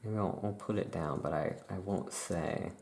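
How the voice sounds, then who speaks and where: A performer voicing a forgetful, easygoing witch shopkeeper in a soft-spoken, halting ASMR roleplay, rambling absent-mindedly. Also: sits low, vocal fry, a little hiss or hum. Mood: absent-minded, relaxed.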